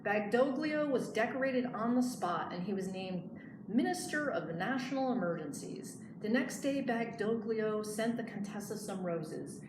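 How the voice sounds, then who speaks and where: A woman librarian reading a picture book aloud in an expressive storytelling style. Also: medium pitch, unhurried. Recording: echoey room, clear background noise.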